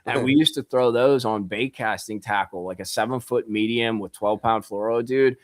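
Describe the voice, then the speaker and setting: A man, a guest angler on a podcast, talking in an excitable, loose way, full of bro and dude. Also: mid-range voice, normal speed, no room sound. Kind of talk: casual podcast chat.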